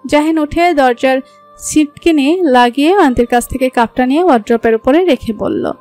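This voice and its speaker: High-pitched Bangladeshi woman narrating a story. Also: normal speed, darkish tone, soft background music. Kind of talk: scripted romantic story narration.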